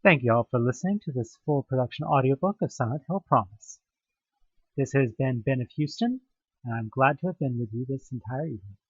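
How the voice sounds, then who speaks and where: Male horror audio-drama narrator, theatrical and very animated, slightly husky, on a dull home recording. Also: medium pitch, fairly quick, no room sound. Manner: theatrical, expressive delivery. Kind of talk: dramatic second-person scripted narration of a horror story.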